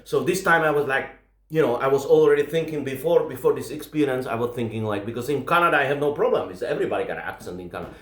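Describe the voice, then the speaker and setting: A man's voice: medium pitch, lively intonation, fast, a bit bright, roomy sound. A man from the Czech Republic with a Czech accent, doing stand-up and animatedly acting out the people in his story. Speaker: stand-up comedian.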